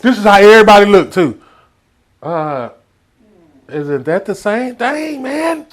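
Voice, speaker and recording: Male comic storyteller, Southern US accent, slightly high voice, casual, rambling, animated. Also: measured pace. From Texas, United States.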